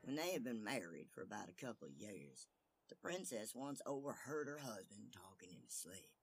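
A man narrating a fairy tale expressively, dramatic, often dropping to hushed phrase endings. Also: fairly low pitch, sing-song, normal speed, husky. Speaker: storyteller.

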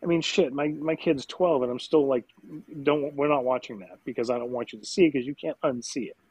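Husky wisecracking man, dry sound. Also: mid-range voice, fairly quick, darkish tone, no echo, rough recording. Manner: wisecracking, swears, talks in restarts. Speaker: podcast co-host. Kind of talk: casual movie review chat.

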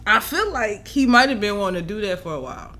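A woman's voice: mid-range voice, animated, fast, pushed and bright. A woman co-hosting a podcast in blunt, playful casual banter. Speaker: podcast co-host.

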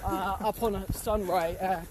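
A man's voice: sits high, fairly even intonation, unhurried, background noise. A man co-hosting a casual podcast chat, hesitant and full of fillers.

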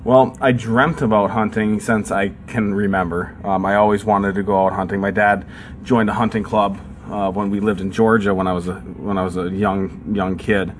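Tiefe Männerstimme, Minnesota-Akzent. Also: male voice, on the low side, flat delivery, normal speed, slight background noise. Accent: northern Minnesotan. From southern United States. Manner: rambling storytelling with many fillers. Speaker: podcast co-host. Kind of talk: casual chat.

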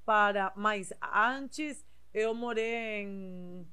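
A woman from southern Spain, a native Spanish speaker, talking casually in non-native Portuguese with a Spanish accent, slightly halting and mixing in Spanish words. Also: mid-range voice, pushed and bright, clear, resonant tone. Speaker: interview guest, body piercer. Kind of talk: casual conversational storytelling.

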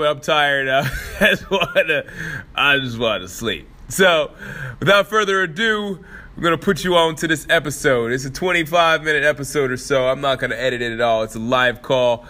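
Congested man, podcast host, playful and chuckling, bright forceful tone. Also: on the high side. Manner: loose and playful, chuckling between phrases. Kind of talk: casual podcast intro. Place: fitness studio.

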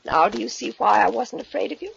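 An actress playing a cold, vengeful murderous wife in an old radio thriller, with a mocking, controlled and deliberate delivery. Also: female voice, on the high side, lively intonation, creaky. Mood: coldly menacing. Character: cold, vengeful wife coolly taunting the husband she plans to murder. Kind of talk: 1940s radio thriller acting. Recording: muffled, noisy old radio recording with clicks.

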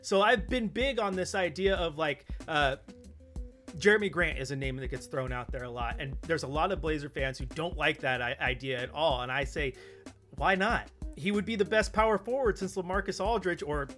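A man's voice: fairly high pitch, moderate pace, a bit bright, soft background music. A man chatting casually about basketball as a podcast co-host, offering opinions and rhetorical questions. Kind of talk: opinionated casual sports chat.

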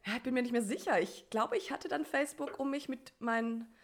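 Woman from Germany in her thirties, chatty co-host who likes to prod. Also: fairly high pitch. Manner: chatty, prompting questions, quick to laugh. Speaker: podcast co-host. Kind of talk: casual chat.

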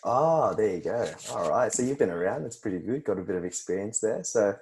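A man hosting a podcast, with a low and mellow voice. He keeps the talk friendly and relaxed and laughs easily. He trips over a word or two as he goes. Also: brisk, slight background noise. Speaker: podcast interviewer. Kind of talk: casual interview conversation.

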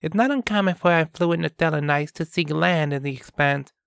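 A man voicing a young woman who explains history in a measured way. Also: fairly high pitch, vocal fry, fairly bright tone, smooth, no room sound, pitched above the performer's own voice. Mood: explaining. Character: knowledgeable young woman, lore teller.

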